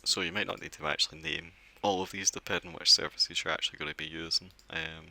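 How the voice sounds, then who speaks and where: A man giving a relaxed step-by-step software setup walkthrough, explaining as he clicks through with occasional fillers. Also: deep voice, quick pace, gravelly. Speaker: software tutorial presenter. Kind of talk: screen-recorded software walkthrough.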